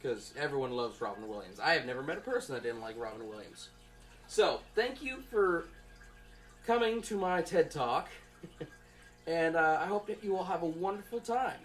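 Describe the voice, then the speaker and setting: Halting, conversational young adult student presenter. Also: mid-range voice, sing-song. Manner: conversational, rambling, halting, thinking aloud. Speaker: college student presenting an assignment. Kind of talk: informal spoken class presentation.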